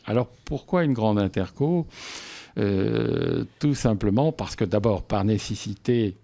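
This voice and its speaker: A man speaking as a local official in a radio interview, explaining things in measured, formal phrasing. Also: on the low side, expressive, gravelly, mellow.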